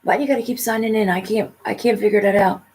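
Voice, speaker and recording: A woman's voice: medium pitch, steady tone, fast talker, darkish tone. Lady co-host on a livestream, casual side chat, bit of background noise, off to the side.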